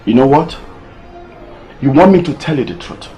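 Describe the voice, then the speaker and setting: A man's voice: medium pitch, lively intonation, moderate pace, warm tone, natural voice. An actor playing a grown son defending his affair to his mother, impassioned and close to tears, with music behind.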